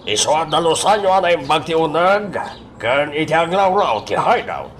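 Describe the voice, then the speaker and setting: A man with an Ilocano Filipino accent acting a guarded, fatherly crime boss in a radio drama, with halting, drawn-out delivery. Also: on the high side, lively intonation, a bit bright, a little hiss or hum. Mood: commanding.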